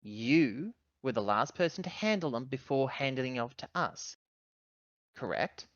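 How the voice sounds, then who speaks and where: A woman's voice: very deep voice, expressive, a little slow, dry recording, dull audio. A woman playing a blunt, indignant spaceship officer in a tabletop game, confrontational, sarcastic and demanding. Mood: angry, accusing.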